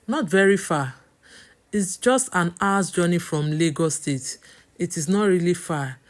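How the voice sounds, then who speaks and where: A woman's voice: fairly low pitch, unhurried, close to an everyday voice. A woman voicing a deceitful young man in a story, outwardly friendly and glib, with a Nigerian accent.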